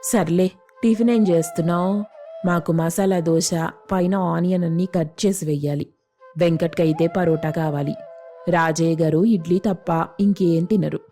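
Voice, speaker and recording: A woman's voice: lowish voice, steady tone, moderate pace, warm tone, faint music behind, natural voice. A woman playing a haughty, demanding older female in-law who taunts her hosts in a comic story. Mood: bossy. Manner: taunting, sarcastic, bossy. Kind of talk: comic moral story voice acting.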